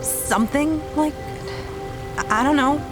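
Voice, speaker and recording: A woman's voice: high-pitched, unhurried, music in the background. A woman playing a thoughtful, teasing, affectionate adventurer in an audio drama, speaking in a hesitant, reflective, conversational way. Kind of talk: fan audio drama acting.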